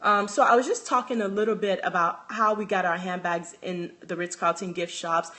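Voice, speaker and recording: A woman's voice: fairly low pitch, steady tone, normal speed, bright, forceful tone. Young Nigerian-accented woman, casual phone livestream host, chatty, loses her thread and restarts. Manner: chatty, restarts often, reads out comments. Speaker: handbag designer hosting a livestream. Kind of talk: casual livestream chat answering viewer comments.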